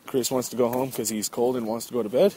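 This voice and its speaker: A man's voice: medium pitch, steady tone, fast talker, warm tone, rough recording. A young man hosting a casual podcast while walking outside at night, swearing freely and recorded on a handheld phone. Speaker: podcast host. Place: outdoors on a cold night walk. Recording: phone recording with faint background noise.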